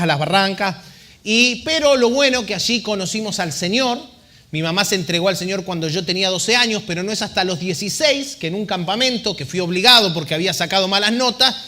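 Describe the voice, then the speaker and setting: Missionary man, bright ringing tone, chatty storyteller at a pulpit. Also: high voice. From Argentina. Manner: joking, chatty storytelling to a congregation. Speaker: missionary guest speaker. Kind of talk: church testimony.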